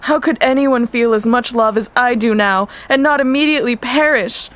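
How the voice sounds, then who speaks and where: A woman's voice: on the high side, normal speed, a bit bright, natural voice. A woman playing a gracious, tender young princess in an audio storybook drama, formal and earnest. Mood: lovesick, sorrowful.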